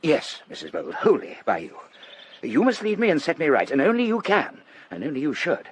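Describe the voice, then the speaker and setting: An actor playing a languid, feckless English gentleman in a period radio drama, hesitant and politely self-deprecating. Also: a little high, huge pitch swings, brisk, slight background noise. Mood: awkward, confiding.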